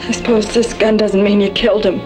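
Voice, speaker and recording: An actress playing a stern, grieving frontier woman in an old-time Western radio drama, speaking in a measured, reproachful way. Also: mid-range voice, level tone, over music, close to an everyday voice. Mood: grim, accusing.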